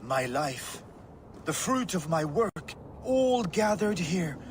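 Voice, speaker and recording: A man's voice: fairly high pitch, sing-song, slow, a little hiss or hum. A man playing a frail, earnest old Viking in a video game, solemn. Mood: weary, earnest. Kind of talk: dramatic video game acting.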